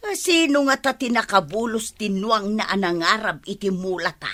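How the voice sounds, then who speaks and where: A woman's voice: medium pitch, moderate pace, fairly bright tone, close to an everyday voice. An actress playing a village woman in a radio comedy, full of questions for her neighbor. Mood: pressing. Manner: inquisitive, questioning. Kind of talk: radio comedy drama acting.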